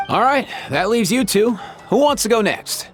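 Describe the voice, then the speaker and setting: A man's voice: high voice, expressive, normal speed, loud music behind the voice. An actor playing a casual, brotherly young man in a video game, slangy and a little bashful. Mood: teasing, easygoing.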